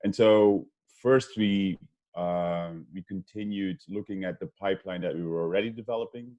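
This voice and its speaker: A man's voice: sits low, normal speed, dark tone, lo-fi sound. A man from Belgium speaking on a video-call webinar panel, hesitant, with many ums and restarts. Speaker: investment fund co-founder.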